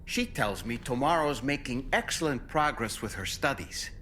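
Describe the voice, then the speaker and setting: A thoughtful, courteous game crewman with a bright, crisp-edged voice. His formal musings rise and fall in lively swoops as he gently wonders aloud. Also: medium pitch, moderate pace, a little hiss or hum. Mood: musing, admiring. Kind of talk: video game character monologue.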